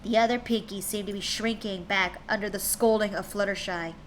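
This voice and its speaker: A woman's voice: medium pitch, fairly even intonation, fairly quick, a little hiss or hum. A woman streamer reading fan fiction aloud to her chat, chatty and tripping over words now and then.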